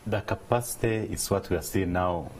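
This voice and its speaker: Man, business guest, deep and warm, earnest, measured delivery. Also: slight background noise, poor audio. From Uganda. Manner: measured, earnest, explanatory, with restarts. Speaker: interview guest, business leader. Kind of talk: studio interview.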